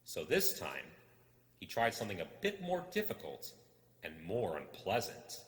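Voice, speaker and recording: Low, animated male storyteller in deep reverb. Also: unhurried. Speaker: storyteller reading a book aloud. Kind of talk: expressive scripted narration. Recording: echoing hall reverb.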